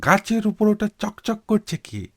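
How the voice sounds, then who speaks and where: A man's voice: high voice, sing-song, unhurried, voice pushed up, heavily acted. A man voicing a sly, cautious old peasant husband in a cartoon story, drawling with feigned innocence. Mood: sly, coaxing.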